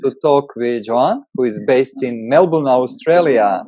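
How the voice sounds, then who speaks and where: An interview host with a mellow, dark tone opens the conversation in a halting way, leaning on "uh" and "um." The sound is dry and close, with no room echo. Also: mid-range voice, animated. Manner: halting, with many fillers. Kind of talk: interview introduction.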